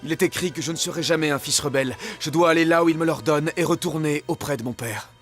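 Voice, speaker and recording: A man voicing an earnest, loyal young prince in a dubbed animated Bible drama. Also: a little high, quick pace, faint music behind, lightly acted. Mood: solemn, devoted.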